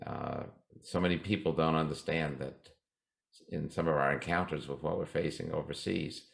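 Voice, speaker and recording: A man in his seventies speaking as an interview guest in an emphatic way, often restarting and slowing down for key lines. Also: extremely low pitch, steady tone, creaky. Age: 70s. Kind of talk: interview talk.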